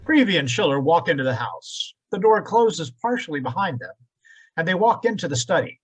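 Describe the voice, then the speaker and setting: Animated husky male radio narrator. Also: fairly high pitch, moderate pace, low-quality recording. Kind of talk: dramatic scripted radio narration.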